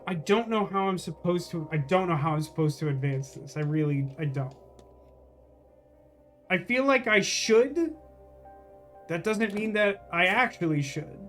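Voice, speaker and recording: A man's voice: high voice, lively intonation, normal speed, a bit bright, faint music behind. A man doing casual live gameplay commentary, rambling and thinking aloud as he questions himself. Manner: rambling, musing, self-questioning. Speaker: game streamer.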